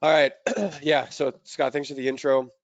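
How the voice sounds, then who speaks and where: A man presenting a software demo in a casual, upbeat way, his voice slightly raspy from allergies. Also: medium pitch, level tone, moderate pace, no room sound, lo-fi sound.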